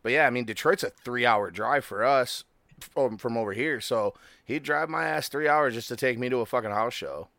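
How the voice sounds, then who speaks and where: A man's voice: mid-range voice, moderate pace, gravelly, a bit bright, dry recording. A man in his thirties hosting a podcast, chatting casually, swearing freely and laughing often. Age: 30s. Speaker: podcast host. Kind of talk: casual chat.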